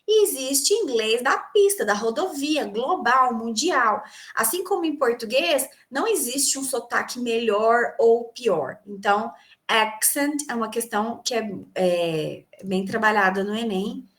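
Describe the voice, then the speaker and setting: A woman's voice: on the high side, a little slow, fairly bright tone. A woman English teacher from Brazil giving an online class on a video call, explaining terms and quizzing her students. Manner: explanatory, asks students questions and answers them.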